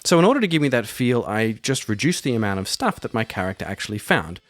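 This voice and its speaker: A man hosts a roleplaying podcast with a scripted, narrated delivery. His pitch moves in a lively, animated way, and soft music plays behind him. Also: fast, no room sound. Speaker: podcast host and solo game master.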